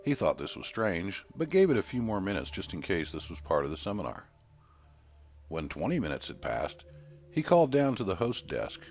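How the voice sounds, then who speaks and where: A man's voice: low-pitched, wildly swooping pitch, moderate pace, darkish tone, a little hiss or hum. A man reading a scripted horror short story as its narrator.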